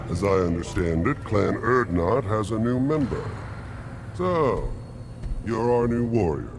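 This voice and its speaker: An actor playing an arrogant, grumbling alien warrior scientist in a video game, obsessed with weapons. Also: male voice, fairly low pitch, lively intonation, measured pace, background noise. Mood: haughty, dismissive. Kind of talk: video game character acting.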